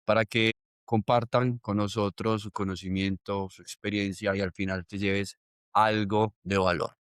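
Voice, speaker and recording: A man's voice: mid-range voice, clean tone, no echo, clean studio sound. A man hosting a podcast, reading an upbeat intro and chatting in a friendly way. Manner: upbeat, friendly, addresses listener directly. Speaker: podcast host. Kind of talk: scripted intro then casual interview.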